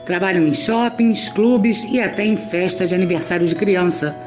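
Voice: mid-range voice, normal speed. A Brazilian narrator with a mid-range voice tells a scripted story in chatty, colloquial phrasing. Faint music plays behind.

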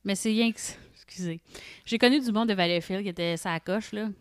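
A woman's voice: medium pitch, brisk, clear, resonant tone, no room sound, clean studio sound. A woman with a Quebec French accent chatting casually as a co-host, playfully teasing and prompting her partner. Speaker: podcast co-host. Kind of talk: casual conversational banter.

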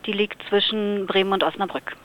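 Woman from lower saxony, chatty radio guest, middle pitch, bit dull sounding recording. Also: steady tone, brisk. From Lower Saxony, Germany. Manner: chatty, thinking aloud with fillers. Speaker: interview guest. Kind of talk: relaxed radio interview answers.

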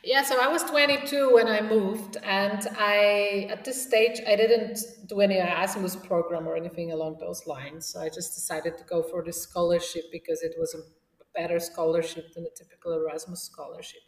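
A woman's voice: lowish voice, steady tone, normal speed. A middle-aged woman with a Polish accent, giving conversational answers as an interview guest. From Poland. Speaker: podcast guest, economist and research director. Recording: echoey, distant, reverberant room.

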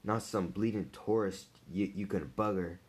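A man's voice: low voice, steady tone, normal speed, close to an everyday voice. A man reading as a furious cab passenger. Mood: angry. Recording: loud guitar music behind voice.

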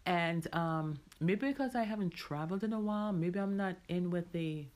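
A woman's voice: fairly low pitch, moderate pace, darkish tone. A middle-aged woman hosting a podcast as a casual, reflective personal monologue, repeating phrases for emphasis. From Jamaica. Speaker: podcast host.

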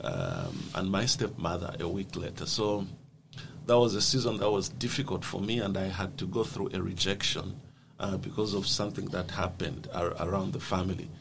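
A man's voice: deep voice, animated, normal speed, gravelly. A man with a Zambian accent, earnest and thoughtful as he tells his life story in an interview. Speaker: guest. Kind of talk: personal life story in a conversation. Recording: noisy background.

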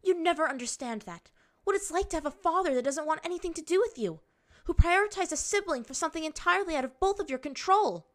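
A woman's voice: mid-range voice, very animated, fairly quick, hardly any pauses, natural voice. A woman voicing a fierce, bitter young waterbender woman in an audiobook-style story, proud and snapping. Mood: bitter, hurt. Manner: snapping, snarling delivery.

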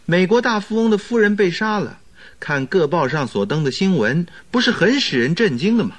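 An actor playing a good-natured, curious doctor in a radio drama, with a coaxing, excitable manner. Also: male voice, high voice, normal speed.

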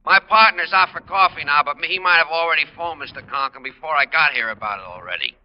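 A man's voice: a little high, fast, close to an everyday voice. An American man acting as a folksy, plainspoken shop tradesman in an old radio comedy, easygoing and ungrammatical. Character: folksy, plainspoken male electrical shop repairman. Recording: muffled, reverberant old radio recording.

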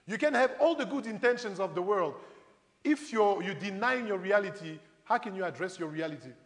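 A man delivers a sermon in a notably high voice. He talks conversationally to his listeners, posing questions and retelling scripture as stories. Long reverb from a large hall trails behind his words. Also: animated, fairly quick. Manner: conversational, rhetorical questions, addresses congregation directly. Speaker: preacher. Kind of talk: animated sermon with acted-out Bible stories.

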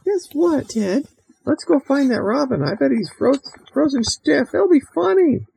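Guy putting on a squeaky teasing little girl voice, gleeful, swoops way up high. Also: expressive, a little slow, vocal fry, a little mellow, heavily acted, rough recording. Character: bratty teasing young girl.

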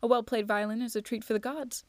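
A woman's voice: a little high, steady tone, fast, a little mellow, dry recording, lightly acted. A woman voicing a curious, polite ex-army man in a storybook reading, courteous and inquisitive. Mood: courteous, frank.

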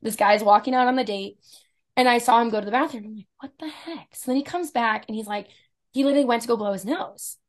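A woman in her thirties with a fairly deep voice, telling a dating story in casual chat and acting out the quotes. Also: quick pace. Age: 30s. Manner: animated storyteller, acts out quotes, laughs often. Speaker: podcast guest, esthetician.

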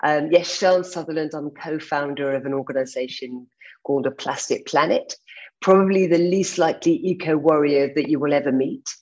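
Woman on a muffled lo-fi video call. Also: deep voice. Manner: self-deprecating, passionate. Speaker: panel guest. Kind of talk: webinar panel talk.

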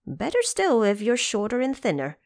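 A woman's voice: fairly high pitch, wildly swooping pitch, moderate pace, a little mellow, no echo, studio quality. A woman voicing a cocky, impatient male Monkey King who boasts and demands, in an audio drama. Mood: pleased, musing.

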